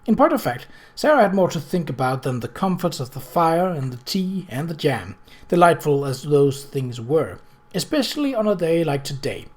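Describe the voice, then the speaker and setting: Male narrator for storybook prose, voice pitched a little high. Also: big pitch swings, moderate pace, darkish tone. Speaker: audiobook reader.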